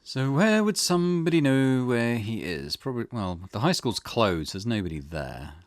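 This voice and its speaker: A man's voice: mid-range voice, very animated, normal speed, no echo, clean studio sound. Casual man playing through a game. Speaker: gaming channel host. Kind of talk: game playthrough reading dialogue aloud, casual.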